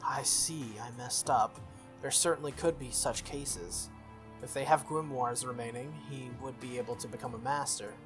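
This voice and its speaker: A man reading the lines of a sharp, proud teenage schoolgirl from a visual novel. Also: medium pitch, fairly even intonation, normal speed, natural voice. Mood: self-reproaching. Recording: music behind the voice.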